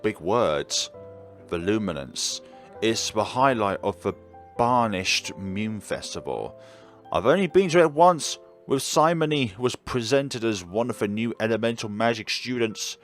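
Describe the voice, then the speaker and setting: Chatty, animated male let's-player. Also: lowish voice, a little slow. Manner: wry, chatty asides. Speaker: game streamer, let's-player. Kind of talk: casual reactions and reading along. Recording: game music behind the voice.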